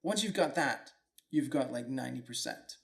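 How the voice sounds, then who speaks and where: A man's voice: mid-range voice, expressive, moderate pace, gravelly. A man from Denmark, hosting his own show in an earnest, reflective, casual way. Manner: earnest, reflective, loose with fillers like "like". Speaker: podcast host. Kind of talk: solo motivational talk.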